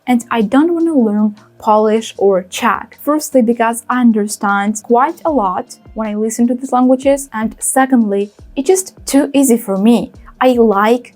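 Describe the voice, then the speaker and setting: A woman's voice: on the high side, a little mellow. A young woman whose first language is Ukrainian, giving direct, opinionated advice to camera as a language vlogger. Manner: direct, opinionated, with quick asides. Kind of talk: casual talking-to-camera advice.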